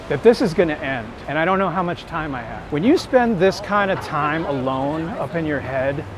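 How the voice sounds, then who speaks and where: A man who works as a street photographer and teacher, talking casually and reflectively in an earnest, candid, introspective way. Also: a little high. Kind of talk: reflective casual talk while walking. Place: outdoors on a busy city street. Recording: noisy city street with traffic. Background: street ambience and vehicles.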